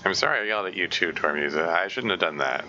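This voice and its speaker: A man's voice: deep voice, lively intonation, fast, bright, forceful tone, lo-fi sound, a little hiss or hum, heavily acted. A man voicing a small, hot-tempered teenage boy who snaps and swears, in a game read-through. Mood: apologetic. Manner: snapping, profane. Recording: game music behind.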